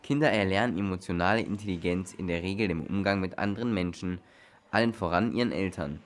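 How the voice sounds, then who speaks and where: A man's voice: low voice, fast. A man reading scripted explainer narration.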